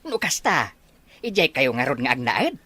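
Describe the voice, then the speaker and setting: A woman's voice: extremely low pitch, big pitch swings, moderate pace, sharp tone, husky, exaggerated voice. A woman playing a hesitant, pleading older parent-in-law in an audio drama, with an Ilocano accent. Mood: insistent.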